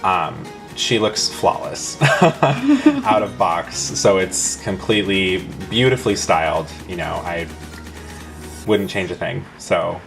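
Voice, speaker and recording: Low-pitched man in casual review chat. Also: slow pace. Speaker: co-host of a toy review. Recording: music clearly behind the voice.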